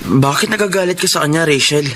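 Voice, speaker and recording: Brief: young man actor as a patient, humble student janitor, slightly high voice, background noise. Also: fast talker, fairly bright tone, natural voice. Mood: calm. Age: young adult. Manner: polite and restrained.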